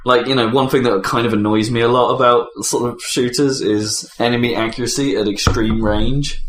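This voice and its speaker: A man's voice: mid-range voice, steady tone, moderate pace, a bit bright. A man co-hosting a podcast, chatting casually and a little tentatively about video games. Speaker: podcast co-host. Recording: echoey room.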